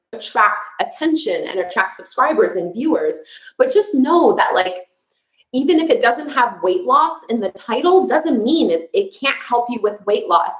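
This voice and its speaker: A woman's voice: mid-range voice, reverberant, poor audio. A young woman from Quebec, a French speaker with a Quebec French accent, hosting a casual live chat as a yoga instructor, chatty, with frequent fillers and laughs. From Quebec, Canada. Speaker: yoga instructor, channel host. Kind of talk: live chat answering viewer questions.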